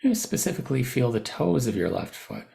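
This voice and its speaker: Male meditation guide, mid-pitched with heavy vocal fry, gentle informal instruction. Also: sing-song, brisk. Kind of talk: guided body scan meditation, unscripted spoken instruction.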